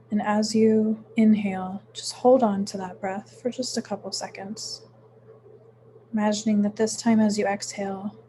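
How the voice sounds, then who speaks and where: A woman guides a meditation in a mellow, relaxed voice at a middling pitch. She speaks very slowly and soothingly, leaving frequent pauses. There is some faint noise in the recording. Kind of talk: guided meditation, gentle instruction.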